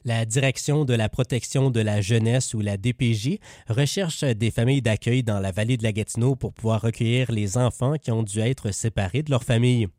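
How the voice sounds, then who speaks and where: Man, Quebec French accent, local radio news reader, steady, dry studio. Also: mid-range voice, fairly quick, no room sound. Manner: relaxed chatty in banter, steady when reading. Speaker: news reporter. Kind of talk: read news report with casual banter.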